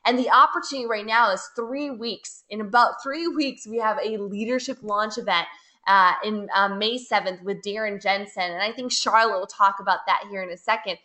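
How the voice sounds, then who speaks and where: An American woman leading a network marketing team, giving an upbeat, persuasive webinar pitch with repeated phrases. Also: moderate pace, bright, forceful tone. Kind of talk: webinar sales presentation.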